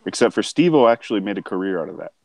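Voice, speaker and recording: Blunt, crude, lower-voiced man of thirty. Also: animated, rapid-fire, creaky. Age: 30s. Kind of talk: casual group banter.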